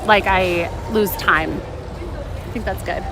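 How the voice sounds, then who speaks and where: A woman's voice: mid-range voice, slow. A woman chatting casually on a livestream, playful and teasing, often breaking off and restarting her thoughts as she answers viewers. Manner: playful, teasing, self-interrupting. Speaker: live streamer reading and answering chat. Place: outdoors in a busy theme park. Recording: noisy outdoor park ambience. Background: outdoor park ambience.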